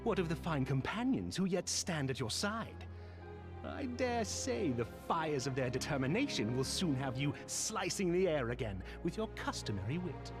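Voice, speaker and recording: A man's voice: a little high, sing-song, normal speed. An actor playing a courtly, eloquent knightly man in a video game, speaking in formal, rousing rhetoric. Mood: rousing, challenging. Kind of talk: video game dramatic acting with formal diction. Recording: music behind the voice. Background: game music.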